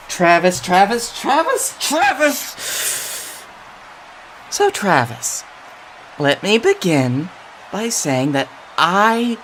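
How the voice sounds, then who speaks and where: Guy playing a wrestler begging and coaxing, slow halting delivery, nervous pep, little bit of noise. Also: a little high, exaggerated voice. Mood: pleading, apologetic. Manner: halting, emphatic, swoops from soft to pleading. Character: pleading, nervously upbeat male wrestler coaxing his brother. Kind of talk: wrestling promo acting.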